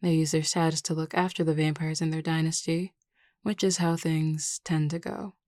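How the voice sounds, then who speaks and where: A woman playing a confident, reassuring werewolf pack beta in a scripted audio roleplay, speaking in a measured, explanatory way. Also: low-pitched, little pitch movement, darkish tone, no room sound, studio quality, close to an everyday voice. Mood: composed, explanatory.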